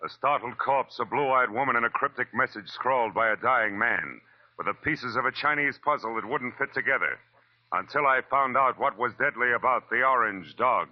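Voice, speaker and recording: An actor playing a wry, world-weary hard-boiled private detective in a 1940s radio drama, laconic and sardonic. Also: male voice, medium pitch, normal speed, lightly acted. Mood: dramatic, ominous. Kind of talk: 1940s radio detective acting and first-person narration. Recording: dull vintage radio recording with music.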